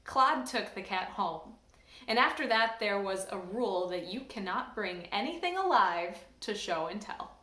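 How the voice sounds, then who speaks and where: A woman from a church staff reading a children's book aloud in an expressive, lively storytelling manner. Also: mid-range voice, measured pace. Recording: roomy echo.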